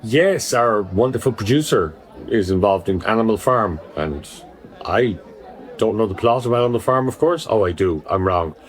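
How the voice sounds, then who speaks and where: A man's voice: on the low side, expressive, moderate pace. A man co-hosting a podcast in casual chat, with a wry, dry sense of humour. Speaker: podcast host.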